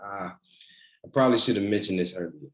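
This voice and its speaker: A man's voice: on the low side, normal speed, close to an everyday voice, rough recording. A man reading a teasing, devoted boyfriend in a naturalistic drama table read, conversational and a little hesitant. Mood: sheepish, hesitant. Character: teasing, devoted boyfriend, nervous about his grandmother. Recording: virtual meeting recording.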